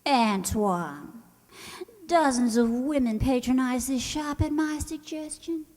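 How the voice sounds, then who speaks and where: Slow, haughty, scheming woman rival, acted out. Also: high-pitched, darkish tone, long reverb tail. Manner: sly, condescending, falsely sweet. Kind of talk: old-time radio comedy acting.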